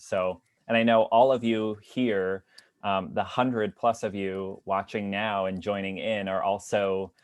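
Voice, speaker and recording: A young man from Long Island, New York, gives a casual talk as a guest speaker. His voice sits on the low side and sounds dry and close. He comes across as friendly and grateful, with a lot of ums. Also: no room sound. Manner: friendly, grateful, upbeat, with frequent "um". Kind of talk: casual presentation to an online audience.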